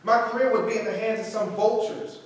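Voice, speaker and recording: A man's voice: high voice, fairly even intonation, fairly quick, close to an everyday voice. A man playing a proud, embittered, stubborn actor, emphatic and colloquial, in a staged play reading. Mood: angry, defiant. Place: echoey room at a staged reading. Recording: very echoey room, distant microphone.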